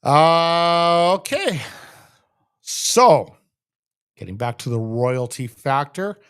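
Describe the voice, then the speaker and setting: A man's voice: a little high, lively intonation, extremely slow, a bit bright, smooth. A Canadian man hosting a sports show, giving casual, emphatic solo hockey commentary and repeating phrases for stress.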